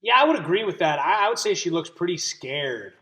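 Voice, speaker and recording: A man's voice: high-pitched, very animated, fairly quick, a bit bright. A man reading a picture book aloud as a teacher, explaining and putting questions to young listeners. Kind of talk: children's story read-aloud with questions to listeners.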